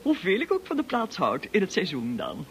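A woman's voice: fairly low pitch, huge pitch swings, normal speed. Pompous, swooping society lady. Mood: gushing. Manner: pompous, affected, bossy. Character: snobbish, gushing, domineering middle-aged society lady. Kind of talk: radio drama acting.

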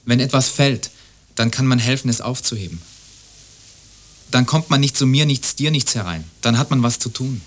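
A man's voice: medium pitch, normal speed, close to an everyday voice. An actor as earnest, rambling lonely neighbour man. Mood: earnest, musing. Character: lonely, helpful neighbour who loves mending broken things. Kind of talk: radio play monologue acting.

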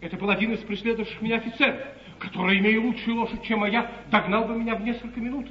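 An actor playing an earnest, naive young English guards officer in a 1950s stage comedy, speaking in a declamatory storytelling manner. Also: male voice, high-pitched, flat delivery, rapid-fire, a little hiss or hum, natural voice. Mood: earnest, agitated. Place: theatre stage, live performance. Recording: distant, echoey, muffled 1950s theatre broadcast.

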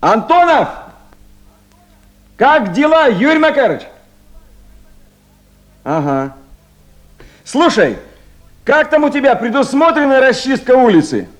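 A high-voiced, animated duty officer. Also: male voice, slow pace, long pauses, heavily acted. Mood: brisk, insistent. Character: busy, caring male town committee duty officer. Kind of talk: 1970s Soviet radio play acting.

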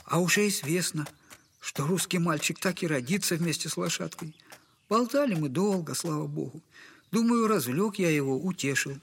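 An actor playing a humble, wounded retired captain and loving poor father in an audio drama, speaking effusively and haltingly in a servile old-fashioned way. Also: a little high, animated, vocal fry, fairly bright tone, slightly rough, exaggerated voice. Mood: mournful, tender.

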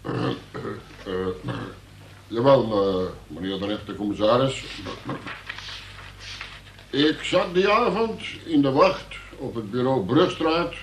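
An actor playing a dutiful police sergeant who formally and haltingly reads out a report in a radio play. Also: male voice, mid-range voice, expressive, slight background noise. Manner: formal, officious, halting delivery of official report. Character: dutiful police sergeant reading out a suspect's confession. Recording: roomy, echoing radio play recording.

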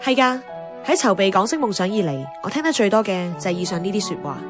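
An earnest, idealistic radio host acted by a woman with a Hong Kong Cantonese accent. She speaks reflectively in an animated, mid-pitched voice over clear background music. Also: fast, natural voice. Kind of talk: scripted radio monologue.

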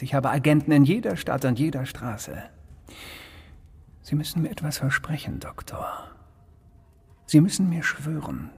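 An actor playing a cold, cultured criminal mastermind in a radio drama, speaking with pompous, deliberate precision and calm menace. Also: male voice, medium pitch, gravelly, breathy edge, in a large hall, heavily acted. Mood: secretive, menacing.

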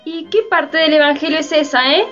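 A woman's voice: high-pitched, steady tone, brisk, pushed and bright. A woman narrating a radio drama from a script. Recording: dull lo-fi, faint music behind.

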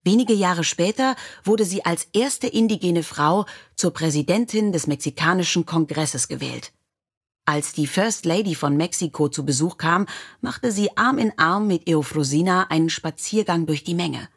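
Woman reading a storybook, kinda low and raspy husky voice. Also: normal speed. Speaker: audiobook narrator. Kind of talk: scripted storybook narration.

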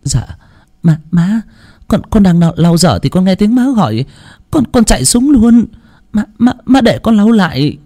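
A man's voice: sits high, very animated, moderate pace, mellow, pitched above the performer's own voice. A man voicing a timid young daughter-in-law who stammers and repeats her words. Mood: meek stammer.

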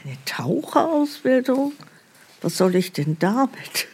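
An actress playing a hesitant, gullible elderly care home resident in a naturalistic radio drama, with a husky, creaky voice. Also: female voice, on the high side, big pitch swings, a little mellow, a little hiss or hum, exaggerated voice. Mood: doubtful, puzzled. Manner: slow, hesitant, naively questioning.

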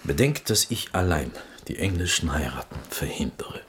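An actor playing a scheming, corrupt court minister in a radio play, with a sly, insinuating manner. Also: male voice, sits low, animated, normal speed, noisy background.

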